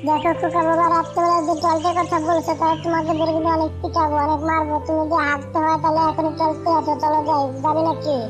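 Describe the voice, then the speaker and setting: Fussy cartoon lady bird played by a woman, very high, little pitch variation, complaining and scolding. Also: monotone, very fast, few pauses. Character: fussy, scolding female cartoon birds, mothers and neighbours. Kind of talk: cartoon story dialogue. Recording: music behind the voice.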